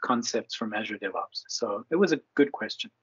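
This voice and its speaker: A man with a husky voice moderating and talking conversationally in an online meeting. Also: lowish voice, animated, fairly quick, fairly bright tone. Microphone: video call. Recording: dull online call audio.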